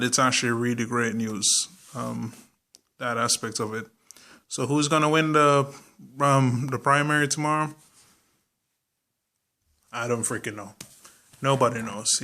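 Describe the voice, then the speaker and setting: Bright-voiced man with a Jamaican accent. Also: mid-range voice. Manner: chatty and informal, many ums, occasional swearing. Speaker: political vlogger. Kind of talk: casual political commentary to camera.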